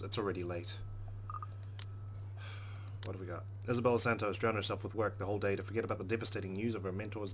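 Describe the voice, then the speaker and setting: A man narrating a visual novel aloud in a dramatic, expressive read-aloud style, with muttered asides. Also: lowish voice, a little hiss or hum. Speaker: let's play narrator.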